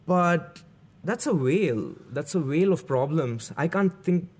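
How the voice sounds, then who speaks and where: A young man with a Bangalore accent, a music producer talking in a casual interview, animated and swearing freely. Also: fairly high pitch, slow. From South India. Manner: animated, swearing, acts out his own thoughts.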